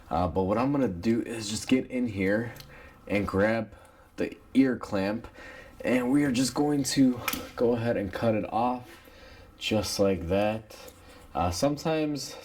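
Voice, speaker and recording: A man with a cold and a raspy voice, talking casually through a repair step by step with plenty of fillers. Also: on the low side. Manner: relaxed, rambling with many uh fillers. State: has a cold. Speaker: mechanic demonstrating a repair. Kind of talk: casual how-to tutorial narration.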